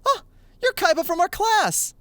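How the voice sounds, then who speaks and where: A woman playing a cheerful, excitable teenage boy card player in an audio manga drama. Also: very high voice, normal speed, dry recording, heavily acted. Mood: excited.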